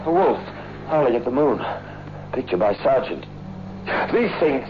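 An actor playing a haunted, frightened man losing his mind in a radio horror drama, with a halting, anguished delivery. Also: mid-range voice, very animated, background noise. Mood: agitated. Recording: lo-fi old radio broadcast with music.

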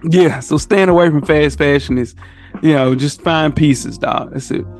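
A man's voice: a little high, normal speed, darkish tone, faint music behind. An American man chatting casually as a podcast guest, in slangy talk full of "man", "bro" and "you know". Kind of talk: casual chat.

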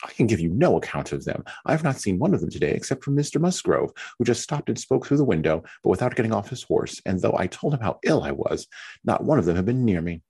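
A man's voice: on the low side, sing-song, quick pace, a little mellow, husky, pitched above the performer's own voice, heavily acted. A man putting on a whiny fretful young wife. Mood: complaining. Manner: whiny, complaining. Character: fretful self-pitying young married woman. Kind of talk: reading a novel aloud.

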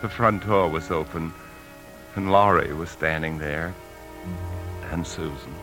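An American actor playing a weary, guilt-ridden husband and bank man, narrating gravely in a 1950s radio drama. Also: very deep voice, unhurried, fairly bright tone. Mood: grave, confessional. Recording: 1950s radio broadcast with music.

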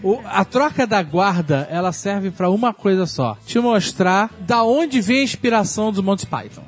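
A Brazilian man hosting a podcast, telling travel stories casually to friends in an animated, slangy, joking way. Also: sits high, moderate pace, music in the background. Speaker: podcast host.

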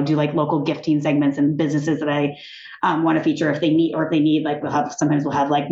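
A woman talking as a guest in a casual interview, animated and chatty, often laughing and restarting her sentences. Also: deep voice. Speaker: TV executive, podcast guest. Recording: echoey room, dull sound.

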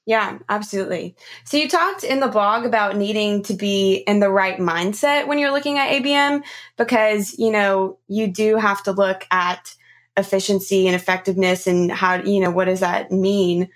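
A woman's voice: medium pitch, fairly even intonation, moderate pace. A woman hosting a podcast interview in a casual, effusive way, repeating words for emphasis. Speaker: podcast host.

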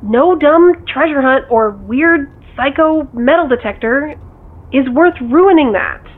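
A woman's voice: sits high, expressive, takes it slowly. An actress playing a blunt, exasperated but caring woman scolding her partner over a phone in an audio drama. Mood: weary, pleading. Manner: blunt, reproachful. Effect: phone call filter. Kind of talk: naturalistic audio drama dialogue. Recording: thin, band-limited phone line.

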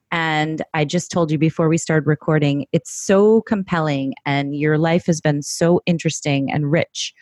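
Effusive low-voiced woman podcast host. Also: darkish tone, no echo, clean studio sound. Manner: effusive, enthusiastic. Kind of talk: podcast interview.

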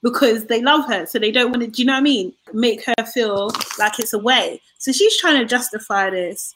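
A woman's voice: a little high, expressive, moderate pace, fairly bright tone, a little hiss or hum, low-quality recording. A woman co-hosting a casual panel chat, talking in a heated, emphatic rant.

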